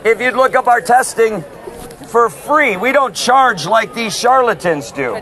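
Man, street preacher, high voice, loud and insistent, outdoors in a city, phone recording, faint crowd behind. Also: lively intonation, unhurried. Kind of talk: open-air preaching and street argument.

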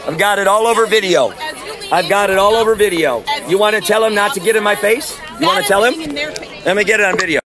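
A man who is a street preacher, talking loudly and insistently outdoors on a city street, recorded on a handheld phone. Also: high voice, fast talker, dry recording, soft background music, a faint crowd. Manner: loud, forceful, insistent. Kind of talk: open-air preaching and street argument.

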